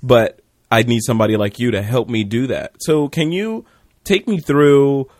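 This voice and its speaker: A man with a medium-pitched voice hosts a casual interview. He asks curious, chatty questions and likes to joke. The recording is dry, with almost no room reflections. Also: moderate pace, darkish tone, no echo. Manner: curious, joking. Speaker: podcast host, interviewer.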